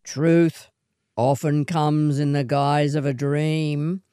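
A woman voicing a scruffy male wanderer and suitor in a storybook, boastful and coaxing, with measured delivery. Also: sits low, level tone, warm tone, clear, resonant tone, dry recording, clean studio sound. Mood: assured. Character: scruffy swagman suitor, boastful, unhurried, persistent.

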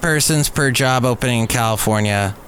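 A man's voice: mid-range voice, fairly quick, a bit bright. Rambling American podcast man, noisy recording. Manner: rambling, jokey, self-mocking asides. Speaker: podcast host. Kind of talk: casual solo podcast monologue. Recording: clearly audible background noise.

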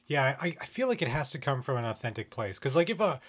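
A man's voice: mid-range voice, big pitch swings, fast talker, a bit bright. A man hosting a podcast in casual, joking conversation, with a wry and self-deprecating way of talking. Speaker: podcast host.

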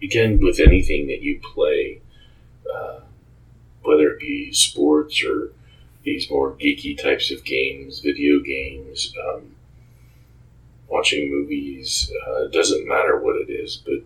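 A man with an extremely low voice gives reflective interview answers. He talks slowly and haltingly, choosing his words with earnest care. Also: steady tone, low-quality recording. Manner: halting, measured, earnest advice-giving. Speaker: game creator and author being interviewed.

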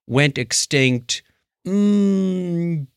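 A man's voice: on the high side, expressive, very slow, vocal fry, clean tone, dry recording. Man chatting casually, close dry sound. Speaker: podcast co-host. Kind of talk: casual chat, recounting documentaries.

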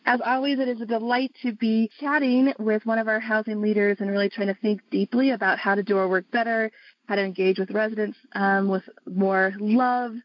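A woman's voice: mid-range voice, steady tone, brisk, dry recording, dull audio. A woman hosting a podcast interview, asking long, rambling questions and affirming her guest.

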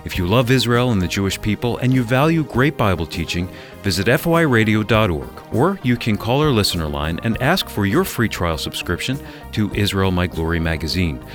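A man's voice: lowish voice, very animated, brisk. A man reading scripted promotional announcements as a radio announcer. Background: music bed.

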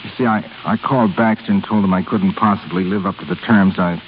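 A lowish-voiced young man of honest pride acted by a man speaks plainly and a little stiffly, as in a 1930s radio romance. His delivery is sincere and halting, over a dull recording with faint hiss. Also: fairly even intonation, natural voice. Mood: bewildered, tender. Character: earnest, proud, plain-spoken younger man in love.

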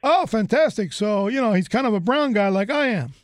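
A man's voice: sits high, expressive, brisk, dry recording. A man from the United States hosting an interview in a rambling, chatty way, full of fillers and restarts. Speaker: host. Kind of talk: casual interview chat.

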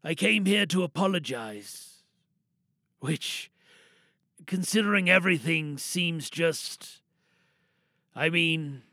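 A man playing a remorseful paroled killer in a halting, apologetic audio drama monologue. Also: on the high side, lively intonation, bright, forceful tone, no echo, studio quality, exaggerated voice. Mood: hesitant, remorseful. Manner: halting, hesitant.

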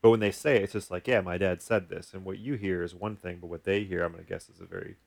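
A man's voice: low voice, steady tone, fast, creaky, darkish tone. A man hosting a podcast, explaining ideas casually and acting out example thoughts as he goes. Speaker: podcast host, therapist.

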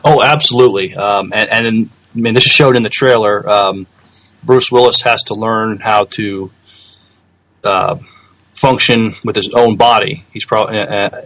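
A man co-hosting a podcast, chatting casually about news with hesitant, halting phrasing. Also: fairly low pitch, steady tone, a bit bright, rough recording. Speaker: podcast co-host. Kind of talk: casual movie news chat.

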